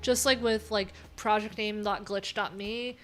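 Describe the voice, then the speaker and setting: A woman's voice: mid-range voice, steady tone, a little slow, a bit bright. A woman live streaming, chatting informally and thinking aloud in a rambling, unfiltered way. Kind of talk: casual live tech stream commentary.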